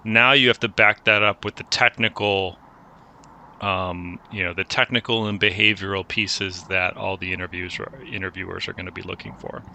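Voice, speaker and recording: A man hosting a career advice chat, coaching a student in a relaxed way and often restarting his phrases. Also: deep voice, moderate pace, vocal fry, slight background noise. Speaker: host giving career advice. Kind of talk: conversational mentoring chat.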